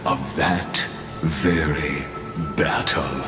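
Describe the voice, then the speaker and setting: Sorrowful fantasy game legend-teller, deep, solemn, echoing, music behind. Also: male voice, fairly even intonation, heavily acted. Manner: solemn, deliberate. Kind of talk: dramatic scripted game voice acting. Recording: echoey voice under music.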